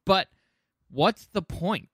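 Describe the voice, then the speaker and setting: A man with a fairly high voice, recorded close and dry. He talks as a podcast co-host in loose, casual conversation, opinionated and quick to swear. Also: animated, a bit bright, slightly rough, no echo. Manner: opinionated, profane. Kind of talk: casual chat about film and game adaptations.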